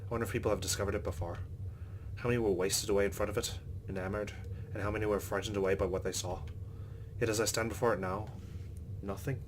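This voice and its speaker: A man's voice: fairly low pitch, steady tone, quick pace, slight background noise. A man hosting a let's play, reading visual novel narration aloud with his own reactions, over game ambience.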